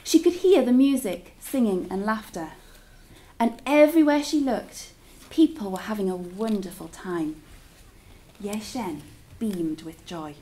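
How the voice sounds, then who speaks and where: Woman, storybook narrator for children. Mid-range pitch, deliberate and dramatic, stretches key words. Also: expressive, dark tone. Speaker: teacher reading a story aloud to children.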